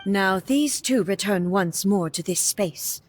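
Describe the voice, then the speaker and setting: An actress voicing a stern, composed female warrior ruler in a video game cutscene, speaking in a measured, formal, solemn way. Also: medium pitch, slight background noise, lightly acted. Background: faint game music.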